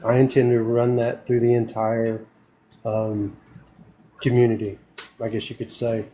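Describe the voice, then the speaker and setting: A man's voice: mid-range voice, little pitch movement, unhurried, dark tone, a little hiss or hum. A man from Northeast Tennessee with a country East Tennessee accent, talking earnestly and a bit rambling to a group on a casual call. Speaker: community project speaker. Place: at home in South Houston.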